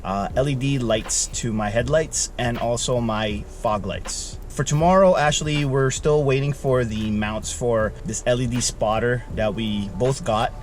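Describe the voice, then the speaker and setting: A man's voice: mid-range voice, measured pace, hardly any pauses, slight background noise. A man hosting a vlog in casual, laid-back, slangy chat with an upbeat manner. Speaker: vlog host.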